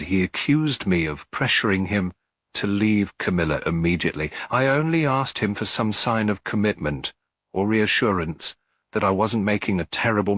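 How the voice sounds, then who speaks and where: A man's voice: low-pitched, a bit bright, no room sound. A man reading as a subdued, unhappy younger woman. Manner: hesitant, subdued, confessional. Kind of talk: detective story character reading.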